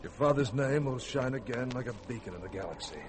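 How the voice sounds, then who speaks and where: An actor playing a grave, steadfast spaceship commander in a 1950s radio drama, solemn and measured. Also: male voice, mid-range voice, animated, close to an everyday voice. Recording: noisy vintage radio recording.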